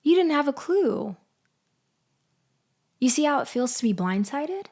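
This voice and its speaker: A woman playing a betrayed, vengeful ex-girlfriend in an audio roleplay monologue, taunting with deliberate, condescending delivery. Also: sits high, big pitch swings, darkish tone, full character voice. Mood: mocking. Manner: slow, deliberate, condescending, with sighs and pauses. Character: betrayed, vengeful ex-girlfriend confronting her framer, coolly taunting. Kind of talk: girlfriend ASMR-style dramatic roleplay monologue.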